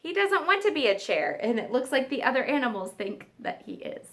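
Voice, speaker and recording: A woman teacher reading a story aloud to young children, expressive and pausing to explain and ask them questions. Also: high voice. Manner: expressive, asks listeners questions. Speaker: kindergarten teacher.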